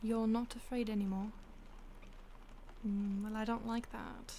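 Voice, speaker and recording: A woman playing an ancient, detached vampire stalker in an intimate audio roleplay, with a breathy voice that often drops to a whisper and a languid, teasing manner. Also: fairly high pitch, flat delivery, measured pace, warm tone, a little hiss or hum. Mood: dismissive, condescending. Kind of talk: intimate audio roleplay, ASMR-like acting addressed to the listener.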